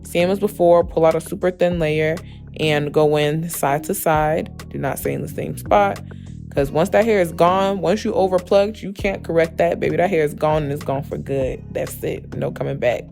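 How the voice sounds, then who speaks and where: Slightly raspy, creaky young woman. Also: deep voice, normal speed. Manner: chatty, emphatic, addresses viewers familiarly. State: slightly hoarse voice. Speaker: beauty tutorial host. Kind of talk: casual voice-over tutorial. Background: background music with guitar.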